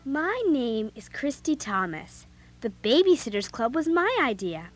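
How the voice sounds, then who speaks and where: Outspoken seventh-grade heroine, American, high-pitched, very lively delivery, children's audio drama. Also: female voice, a little slow, faint music behind. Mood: storytelling. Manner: animated, blunt. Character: outspoken, eager seventh-grade girl telling her story.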